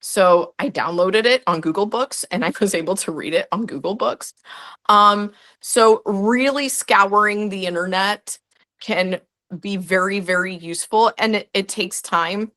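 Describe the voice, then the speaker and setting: A woman's voice: medium pitch, unhurried, a bit bright. A young woman, a guest historian, answering interview questions in a chatty way full of "like" and restarts.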